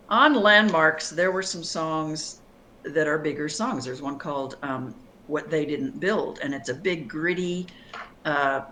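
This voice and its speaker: A woman's voice: low-pitched, pushed and bright, slight background noise. A middle-aged woman singer-songwriter from New York, talking thoughtfully about her music in an interview, often restarting sentences. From Long Island, New York. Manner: thoughtful, reflective, with many restarts. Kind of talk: interview conversation.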